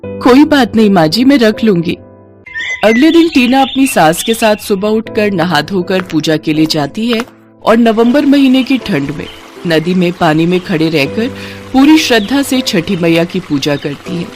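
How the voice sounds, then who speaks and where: A woman narrating a scripted family story in an expressive, steady way. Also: mid-range voice, moderate pace, faint music behind.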